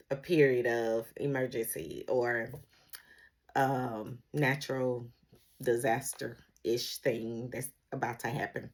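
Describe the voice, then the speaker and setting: A middle-aged woman with a low-pitched voice chats as if to her vlog viewers. Her delivery is informal and conversational, wandering from point to point and often pausing to search for a word. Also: a bit bright. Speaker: vlogger, former emergency dispatcher. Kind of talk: casual solo vlog chat.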